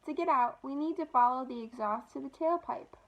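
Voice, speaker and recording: A studious storybook schoolgirl, read by a woman, with a high voice. She explains her research in a matter-of-fact way. The sound is a little dull and lo-fi. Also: brisk. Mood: explaining.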